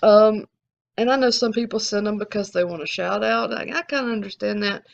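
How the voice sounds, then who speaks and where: Casting note: Alabama drawl, gravelly edge, chatty self-recorded vlogger, dull lo-fi sound. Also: medium pitch, a little fast. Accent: Southern US, Alabama. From American South. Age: adult, collecting since the eighties. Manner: rambling, candid, frequent restarts. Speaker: sports card channel host. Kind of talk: casual Q&A to viewers.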